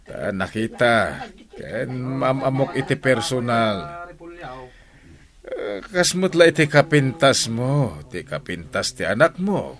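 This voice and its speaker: A man playing a smooth-talking, flirtatious family friend with a Filipino accent in a radio drama. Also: mid-range voice, very animated, takes it slowly, a bit bright. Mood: flirtatious, oily. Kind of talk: Ilocano radio drama acting.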